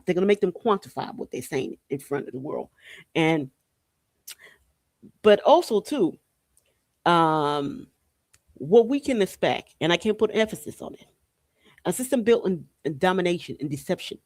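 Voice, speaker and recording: A woman's voice: fairly low pitch, expressive, moderate pace, long pauses, darkish tone, no room sound. A woman from Haiti giving an informal podcast monologue in an emphatic, repetitive manner, punctuated with rhetorical questions. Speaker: podcast host. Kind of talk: spiritual and political monologue, informal talk.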